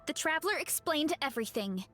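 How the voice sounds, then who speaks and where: Sweet young warrior girl from a game, high voice, mild and earnest, soft music behind. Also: expressive, a little fast. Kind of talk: scripted video game character acting.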